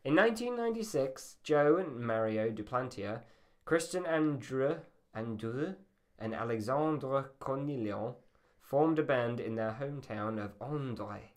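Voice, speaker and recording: A man's voice: mid-range voice, expressive, a little slow. A man hosting a podcast in a casual, rambling and self-deprecating way. His voice sounds slightly feminine. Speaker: podcast host. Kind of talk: casual chat.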